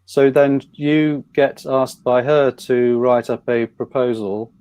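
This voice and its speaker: A man hosts a live panel interview in a mellow, relaxed voice. He feels his way through his questions in a halting, conversational manner, restarting as he goes. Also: medium pitch, clear, resonant tone. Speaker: interviewer and event chair.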